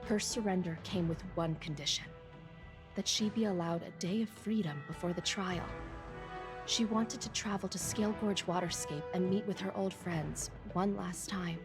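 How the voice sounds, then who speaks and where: A woman's voice: lowish voice, moderate pace, natural voice. A woman playing a dutiful, world-weary military officer in a video game, explaining things matter-of-factly. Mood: grave, weary. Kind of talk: English video game dialogue. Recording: game audio with music behind.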